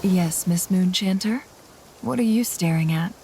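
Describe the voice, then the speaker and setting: A woman's voice: lowish voice, unhurried, background noise. A woman playing a blunt, dry-witted young fighter in a video game cutscene, curt and sardonic.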